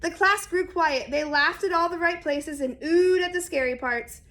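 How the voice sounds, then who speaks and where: A woman reading a children's picture book aloud expressively and deliberately, speaking straight to young listeners. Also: very high-pitched, a bit bright. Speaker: read-aloud storyteller.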